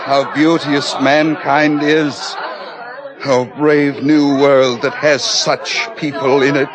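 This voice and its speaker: An actor playing an anguished, fiercely poetic young outcast in a radio play, declaiming in a theatrical way. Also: male voice, a little high, little pitch movement, slow pace, crowd noise, rough recording. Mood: bitter, declaiming. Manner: theatrical, declaiming archaic verse.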